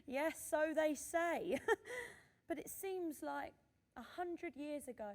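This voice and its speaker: A woman's voice: high-pitched, slow, darkish tone. An actress playing a modest, cheeky old woman who was once a singer, chuckling and plain-spoken in a radio drama. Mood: modest amusement. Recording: long hall-like reverb.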